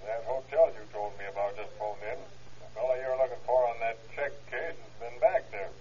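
An actor playing a businesslike police colleague on a telephone in an old radio crime drama. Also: male voice, mid-range voice, fairly quick, dark tone, dull audio, slight background noise. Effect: telephone filter.

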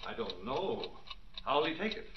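An actor playing a gloomy, self-blaming fugitive brother in a 1940s radio drama, sounding resigned. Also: male voice, medium pitch, very animated, measured pace, dull audio. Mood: glum. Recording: very echoey, noisy, distant 1940s radio recording.

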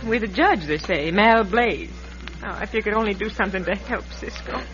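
An actress playing a worried, plain-spoken frontier wife, plaintive and hesitant, in old-time radio style. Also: female voice, mid-range voice, lively intonation, normal speed, fairly bright tone, faint music behind, lightly acted. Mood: anxious. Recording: muffled vintage radio recording, crowd murmur behind.

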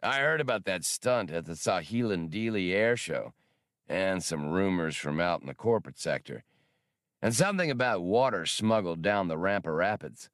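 Big old spacefaring trooper played by a male reader: gravelly, low, folksy and sly, storybook adventure. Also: expressive, moderate pace, fairly bright tone, no echo, clean studio sound. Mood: sly, pleased. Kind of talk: audiobook character dialogue.

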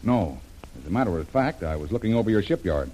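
An actor playing a plucky, plain-spoken American seafaring adventurer in an old-time radio drama. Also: male voice, on the low side, big pitch swings, fast talker, warm tone. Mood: candid, steady. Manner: plain-spoken, informal, hesitant when cornered. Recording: noisy vintage radio drama recording.

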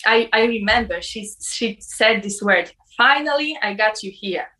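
A young woman from Poland, telling a personal spiritual experience in halting English with many fillers. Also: medium pitch, expressive, sharp tone, low-quality recording. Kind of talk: personal testimony.

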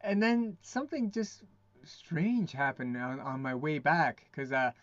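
A man as the guest in an interview podcast, telling stories in a casual, halting way with many restarts and laughs. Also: fairly high pitch, dry recording, highs cut off. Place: lives in Quebec.

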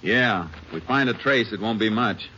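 A calm, shrewd Texas Ranger in a 1950s radio western. The voice sits very low, with terse, deliberate delivery. The old recording is band-limited and clearly noisy. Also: male voice, dull audio. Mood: analytical. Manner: terse, deliberate, matter-of-fact. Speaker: lead actor and narrator. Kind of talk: 1950s radio drama acting.

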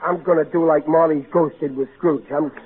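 A man's voice: on the high side, steady tone, fairly quick, breathy edge. An actor playing a big-hearted, blustery middle-aged everyman in old-time radio style. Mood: earnest, flustered. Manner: folksy, ungrammatical, earnest, blustery. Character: big-hearted, folksy, exasperated working-class everyman. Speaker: actor in a radio comedy-drama. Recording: narrow tinny vintage radio broadcast, hissy.

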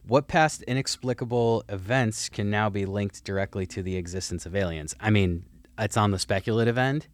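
A man's voice: fairly low pitch, normal speed, no echo. A young man co-hosting a podcast, rambling casually with many filler words while musing on speculative ideas. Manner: rambling, lots of "like" and "who knows". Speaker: podcast co-host. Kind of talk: casual chat.

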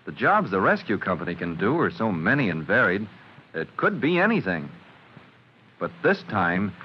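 A man's voice: medium pitch, dull audio. A man with an American accent narrating an old-time radio serial in a theatrical, suspenseful way, on a noisy old recording. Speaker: announcer and narrator.

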